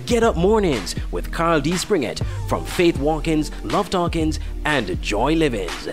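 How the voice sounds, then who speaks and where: A man reads a radio promo with clear music behind him. His voice is a little high and swings widely in pitch, with a punchy, promotional delivery. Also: very animated, measured pace. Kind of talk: scripted radio advertisement.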